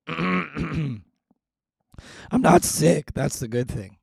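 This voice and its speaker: A man hosting a live stream, his voice hoarse and raspy, talking low and clearing his throat, making fun of how he sounds. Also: expressive, extremely slow, dry recording, studio quality. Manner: self-deprecating, frequent throat clearing. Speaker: show host. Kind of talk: casual live-stream chat.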